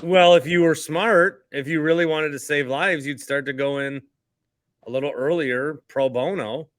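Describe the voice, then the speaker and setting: A man's voice: a little high, normal speed, fairly bright tone, no echo, studio quality. A middle-aged man hosting a sports podcast in a casual, chatty way.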